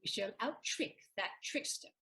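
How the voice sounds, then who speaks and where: A woman's voice: normal speed, sharp tone, close to an everyday voice. A woman playing a shrewd, teasing lady's maid in a stage comedy audio play, wry and knowing. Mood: scheming.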